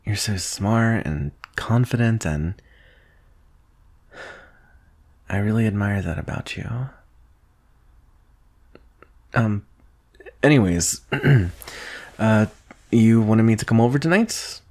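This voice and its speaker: A young man playing a shy, adoring best friend in an intimate boyfriend ASMR roleplay, speaking softly and hesitantly. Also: on the low side, takes it slowly, long pauses. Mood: wistful, shy. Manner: hesitant, soft-spoken, breathy sighs and nervous chuckles. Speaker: audio roleplay performer.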